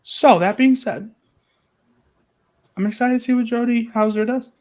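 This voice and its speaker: A man's voice: extremely high pitch, moderate pace, a little mellow, slight background noise. A man chatting casually as a podcast panelist, with a blunt, irreverent, sweary way of talking. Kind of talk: casual chat.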